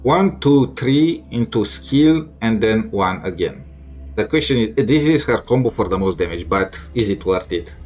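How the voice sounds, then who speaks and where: A man's voice: fairly low pitch, lively intonation, moderate pace. A man thinking aloud in casual, mildly grumbling commentary as he plays a video game. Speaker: gamer streaming a playthrough. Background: game music.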